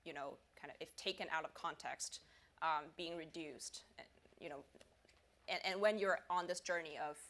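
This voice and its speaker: A woman moderating a panel, her voice notably low for a woman. She builds her questions slowly, hedging and circling through fillers before she gets to the point. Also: fairly bright tone. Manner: searching, hedging with many fillers. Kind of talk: conference panel discussion.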